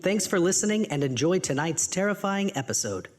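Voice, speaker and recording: A man's voice: high-pitched, very animated, a little fast. A man delivering a scripted welcome as host of a horror podcast. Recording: reverberant hall, clear background ambience noise.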